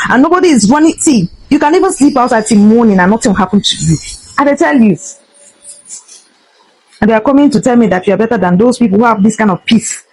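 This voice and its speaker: A woman's voice: a little high, a little mellow, husky, poor audio. A Nigerian woman giving an impassioned, indignant vlog rant, emphatic and slipping between English and Pidgin. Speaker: social media vlogger.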